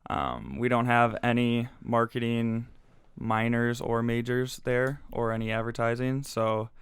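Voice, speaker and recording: A man in his twenties from Minnesota, a podcast guest telling his story in relaxed, easygoing casual chat. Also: mid-range voice, measured pace, clean tone, dry recording. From Minnesota, USA. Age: 20s.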